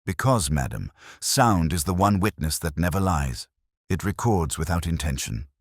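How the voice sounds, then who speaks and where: A man's voice: deep voice, lively intonation, moderate pace, breathy edge, no room sound, studio quality, full character voice. A man voicing a keen, commanding detective with precise, theatrical, coolly triumphant delivery in an audio story. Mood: resolute.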